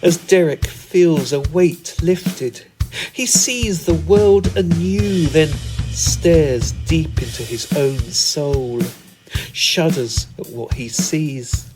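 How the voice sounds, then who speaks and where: A man reciting a scripted comic story poem in a measured, theatrical way. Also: a little high. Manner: measured, theatrical, deliberate delivery. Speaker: spoken word performer, storyteller. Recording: music under the voice.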